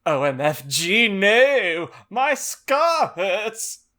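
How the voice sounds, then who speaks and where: A man's voice: high voice, sing-song, drawn-out words, bright, forceful tone, full character voice. A man voicing overwrought, theatrical wizard characters in a comedic audiobook reading. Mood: anguished. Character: melodramatic male wizards and vampire boy, excitable.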